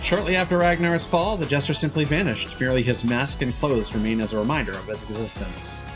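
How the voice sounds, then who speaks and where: A man's voice: medium pitch, a little fast. A man streaming a game, reading its text aloud and adding informal, wry commentary. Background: game music.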